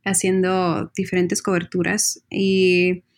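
A woman from Texas, a journalist guest who chats casually in a calm, measured way. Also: fairly low pitch. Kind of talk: casual chat.

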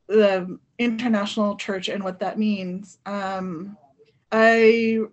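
A woman's voice: medium pitch, lo-fi sound. Woman, panel guest, hesitant, reflective, frequent fillers. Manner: thoughtful, hesitant with many fillers. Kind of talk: conversational discussion.

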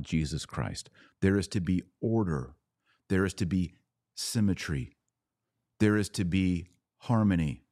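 A man with a very low, deep voice hosts a podcast. He reads viewer comments aloud and answers them sarcastically. The sound is dry and close. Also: mellow, no echo. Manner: preachy when he turns serious. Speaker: podcast host.

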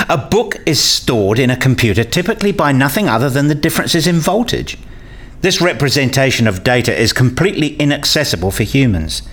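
An earnest actor playing a man in a radio play, arguing his case in scripted dialogue. His mid-pitched voice moves in lively swings as he explains things insistently and didactically. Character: earnest, argumentative man debating ideas with a professor.

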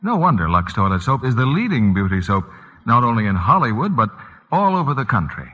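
An American man reading a 1940s radio advertisement as announcer, with a husky voice. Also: medium pitch, big pitch swings, fairly quick, sharp tone. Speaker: commercial announcer. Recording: muffled old radio broadcast, long reverb.

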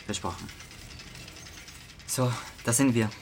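A man's voice: mid-range voice, takes it slowly, gravelly, a little hiss or hum. A young man playing a curious, easygoing teenage boy in an amateur radio drama, talking casually in youth slang with some hesitancy. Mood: persuading. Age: young adult. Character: curious, easygoing teenage boy trying partying for the first time.

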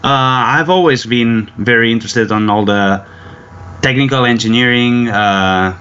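A man's voice: mid-range voice, pushed and bright, clean tone. Hesitant young man from near Barcelona. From Spain, near Barcelona. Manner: careful, many "uh" pauses. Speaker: plant manager, guest. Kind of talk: interview answers. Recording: dull lo-fi line with faint noise.

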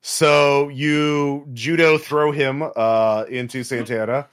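A man running a tabletop horror game as game master, narrating casually and haltingly with sudden exclamations. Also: mid-range voice, fairly even intonation, a bit bright, clean tone.

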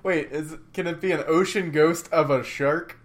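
A young man co-hosting a casual comedy podcast, voice tired from a day of talking, playful and quick to laugh. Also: a little high, fairly even intonation. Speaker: podcast co-host and writing tutor.

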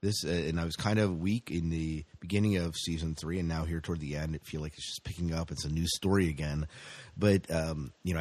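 A man's voice: extremely low pitch, no room sound. A man co-hosting a podcast, chatting casually in an enthusiastic, rambling way as a fan of sci-fi shows. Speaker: podcast co-host.

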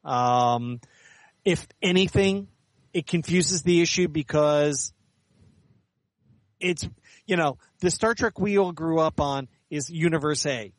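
A man's voice: fairly high pitch, slow pace, pauses often, dry recording. A middle-aged or older man answering interview questions in a candid, articulate, explanatory way. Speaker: interview guest, science fiction author and editor.

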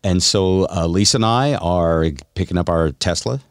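A man's voice: sits low, moderate pace, very noisy. An older man hosting a radio show, chatting and telling stories casually in a conversational, wry way. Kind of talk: casual radio banter and storytelling.

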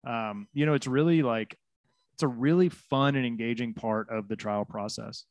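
A man's voice: medium pitch, moderate pace, highs cut off. A man co-hosting a casual talk on trial work. He chimes in often with short agreements and jokes now and then. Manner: agreeable, frequent short affirmations, joking. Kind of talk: casual conversation.

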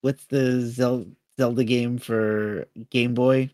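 A man co-hosting a podcast in casual chat, halting and repeating words as he starts his sentences. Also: mid-range voice, level tone, a little mellow, no echo, studio quality. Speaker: podcast co-host.

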